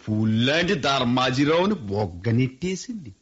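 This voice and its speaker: Brief: a sly husky rustic gossip, lively pitch, dull radio sound. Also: male voice, moderate pace. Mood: mocking. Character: scheming male village gossip. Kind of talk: radio play acting.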